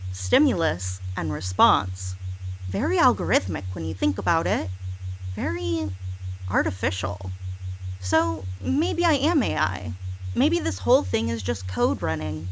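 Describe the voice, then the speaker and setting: A woman delivering a scripted monologue in a sarcastic, deliberate manner, with repetitive phrasing. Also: on the high side, big pitch swings, rough recording. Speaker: hypnosis audio performer. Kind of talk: scripted monologue, mock apology.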